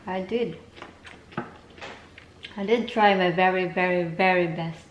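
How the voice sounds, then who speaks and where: Candid Filipina vlogger. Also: female voice, on the low side, steady tone, takes it slowly, slight background noise. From Philippines. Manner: candid, openly disappointed and venting. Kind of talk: casual vlog chat, mixing Filipino and English.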